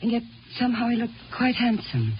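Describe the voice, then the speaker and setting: An actress playing a wistful, dreamy young Martian wife in 1950s radio drama style. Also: female voice, fairly high pitch, very animated, brisk. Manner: dreamy, musing, hesitant. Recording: dull, noisy 1950 radio recording.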